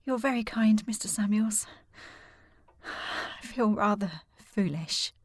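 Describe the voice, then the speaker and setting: A woman's voice: mid-range voice, takes it slowly, no room sound. An actress playing a polite, earnest and determined young lady in a period audio drama, with formal speech. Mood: shaken, apologetic. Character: distressed, polite, determined young lady searching for her sister.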